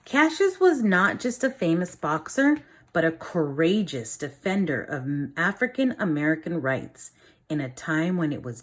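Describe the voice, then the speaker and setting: A woman teacher reading a picture book aloud to children, stopping to ask questions and explain things in simple words. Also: low voice. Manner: instructive, pausing to question and explain simply to young listeners.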